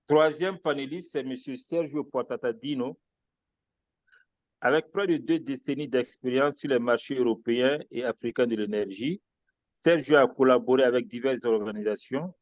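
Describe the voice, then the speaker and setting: A man's voice: mid-range voice, darkish tone, no echo. Man moderating, dull dry call audio. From Guinea. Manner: measured, formal delivery with wry asides. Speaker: webinar moderator. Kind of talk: reading out speaker introductions.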